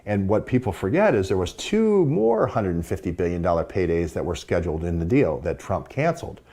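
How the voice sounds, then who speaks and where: A man's voice: sits low, very animated, fairly quick, mellow, breathy edge. A man hosting a show, giving casual commentary that explains and speculates. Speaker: show host.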